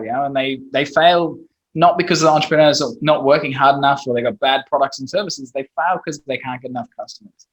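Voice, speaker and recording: A man's voice: on the high side, muffled recording. A man in his thirties from Australia with an Australian accent, a guest talking bluntly and casually, with mild swearing. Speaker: guest, SEO expert. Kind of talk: casual interview chat.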